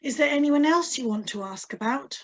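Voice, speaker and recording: A woman's voice: high voice, lively intonation, a little fast, fairly bright tone, muffled recording. A woman playing a kindly, careful hospital nurse in a radio drama read over a video call. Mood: careful, sympathetic. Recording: live video call reading.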